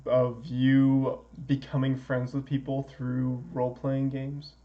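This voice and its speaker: A man's voice: mid-range voice, monotone, highs cut off. A man hosting an interview, chatting casually and laughing easily as he asks questions. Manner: friendly, laughs easily, quick agreeing yeahs. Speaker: interview host.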